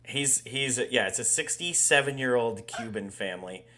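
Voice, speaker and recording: A man's voice: medium pitch, moderate pace, pushed and bright. A man co-hosting a podcast in casual chat, rambling, swearing and laughing often. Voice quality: ginger-haired dog owner talk, married. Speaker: podcast co-host.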